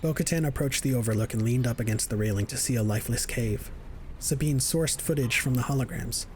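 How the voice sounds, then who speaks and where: A man's voice: mid-range voice, brisk, slight background noise. A man narrating a scripted science fiction audio drama in the third person.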